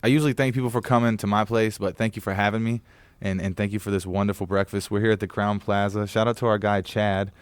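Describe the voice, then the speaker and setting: A man's voice: fairly low pitch, fairly even intonation, quick pace, clean tone, no echo, studio quality. A man of thirty hosting a casual interview from a hotel conference room, laid-back and joking, swearing freely. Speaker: podcast host.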